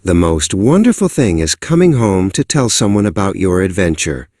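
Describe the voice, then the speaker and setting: A man voicing a wise, kindly badger with a deep, slow voice in a storybook. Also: very animated, darkish tone, no echo, studio quality. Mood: wise, gentle. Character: wise old male badger, kindly and unhurried.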